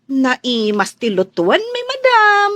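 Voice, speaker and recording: A woman acting in a radio drama as a chatty, cheeky young housemaid with a playful, drawn-out way of talking. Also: sits high, huge pitch swings, a bit bright, dry recording, exaggerated voice. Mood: cheeky, insistent.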